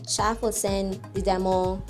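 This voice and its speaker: A woman's voice: medium pitch, a little slow, faint music behind. A woman singer with a Barbadian accent, chatting casually in an interview and telling stories with a laugh. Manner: lively, laughing storyteller.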